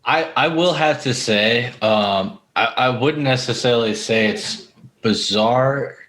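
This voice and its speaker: A man's voice: mid-range voice, slow pace, a bit bright. A man co-hosting a podcast, telling crude, sweary stories in casual comic banter. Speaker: podcast co-host. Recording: roomy echo.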